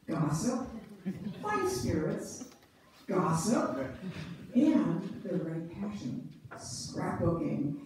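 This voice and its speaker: Woman narrator, mid-pitched and mellow, live readers theater picked up from a distance. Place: church sanctuary, before an audience. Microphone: far camera among the audience. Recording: echoey, distant church hall, faint noise.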